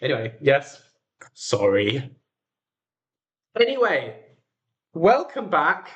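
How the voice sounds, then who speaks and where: A man's voice: on the high side, wildly swooping pitch, slow pace, a bit bright, muffled recording. A man with a runny nose hosting a casual livestream, talking in a chatty, self-deprecating way. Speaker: livestream host and audio drama maker.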